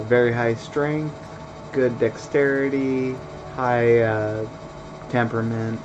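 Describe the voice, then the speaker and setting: A man commenting on his game stream in a flat, monotone way, taking his time with a slow, unhurried pace. His voice sits on the lower side. A clear air-conditioning hum runs underneath. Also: muffled recording. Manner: monotone, laid-back with fillers. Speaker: let's play streamer. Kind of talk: casual gameplay commentary.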